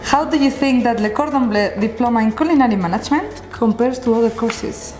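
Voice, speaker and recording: A woman's voice: fairly high pitch, fairly quick, a little mellow, a little hiss or hum. A young woman hosting an interview, politely reading her questions from a card. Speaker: interviewer, host. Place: café-style room with sofa, indoors. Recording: roomy echo with music underneath.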